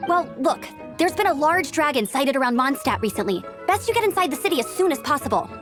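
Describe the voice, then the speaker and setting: Upbeat, very high-pitched young knight. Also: female voice, expressive, fast talker. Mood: brisk, earnest. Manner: formal, earnest, eager. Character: upbeat, dutiful young female knight scout. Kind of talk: video game voice acting. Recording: music behind the voice.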